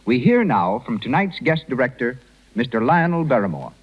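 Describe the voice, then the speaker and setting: A man's voice: mid-range voice, sing-song, moderate pace, husky. An American man working as a radio announcer, reading scripted advertising in 1930s broadcast style. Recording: dull 1930s radio recording.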